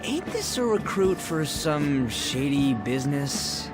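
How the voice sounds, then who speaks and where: A man's voice: fairly high pitch, sing-song, slow pace. A man playing a brash, skeptical teenage boy with a blunt, slangy manner in an anime game. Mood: outraged. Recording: music behind.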